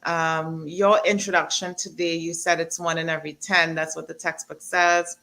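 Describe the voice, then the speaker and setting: Bright-voiced woman explaining health matters. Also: deep voice, level tone, normal speed. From Trinidad. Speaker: doctor guest. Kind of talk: health interview answers.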